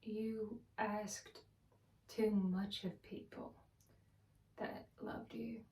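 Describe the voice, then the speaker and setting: A woman playing a wounded, bitter wife in a dramatic stage monologue, speaking in halting, broken phrases. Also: mid-range voice, level tone, darkish tone. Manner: halting, broken phrases with heavy pauses, at times whispered. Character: wounded, bitter Southern wife confessing to her husband. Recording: roomy echo, distant microphone.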